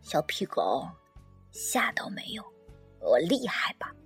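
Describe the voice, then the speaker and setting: A woman voicing a very old male golden retriever in a storybook, sly but resigned, with a breathy, whispery, slow and wistful delivery. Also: fairly low pitch, wildly swooping pitch, a bit bright, faint music behind, voice pushed down, heavily acted. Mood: teasing.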